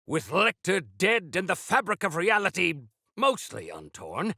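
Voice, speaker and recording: An actor playing a defiant, feisty old exiled warrior-engineer in a video game, gravelly and theatrical, with grandiose, archaic turns of phrase. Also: male voice, high-pitched, huge pitch swings, unhurried, sharp tone, dry recording, studio quality, full character voice. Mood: grave, resolute. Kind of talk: video game character acting.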